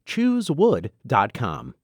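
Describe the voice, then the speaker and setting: A man's voice: mid-range voice, wildly swooping pitch, drawn-out words, no echo, clean studio sound. A man reading a scripted sponsor announcement for radio.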